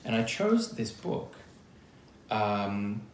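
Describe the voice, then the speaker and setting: Australian male, lowish voice, expressive children's storytime read-aloud with chatty asides. Roomy sound with background noise. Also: very slow. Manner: expressive, chatty, addressing young listeners directly. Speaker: actor reading a children's book aloud.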